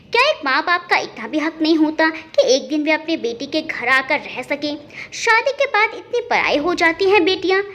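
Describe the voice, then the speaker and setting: A woman storyteller narrating a scripted family drama in an emotive, expressive way. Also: high voice.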